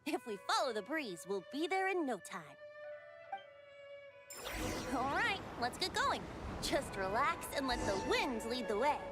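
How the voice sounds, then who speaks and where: Youthful playful bard, high voice, lilting swoops, gentle and mysterious, anime game, music underneath. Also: female voice, sing-song, unhurried, long pauses. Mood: gentle, inviting. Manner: lilting, storyteller-like, teasing.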